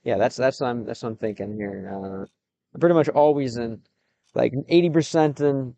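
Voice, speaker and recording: A young American man chatting with his stream viewers, switching between English and halting Russian, pausing often as he reads the chat. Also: mid-range voice, gravelly, warm tone, dry recording. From United States.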